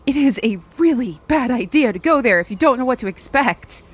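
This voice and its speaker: A woman's voice: fairly high pitch, lively intonation, brisk, slightly rough. A woman playing a level-headed, knowledgeable young woman in an audio drama, speaking in a dry, matter-of-fact way. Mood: practical. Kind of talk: audio drama dialogue, conversational.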